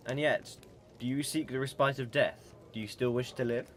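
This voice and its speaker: A man's voice: mid-range voice, normal speed, fairly bright tone, slight background noise, natural voice. A man acting in a radio drama as a mysterious, proud immortal being, with formal and aloof wording. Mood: aloof, questioning. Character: mysterious ageless immortal stranger, proud, coolly probing.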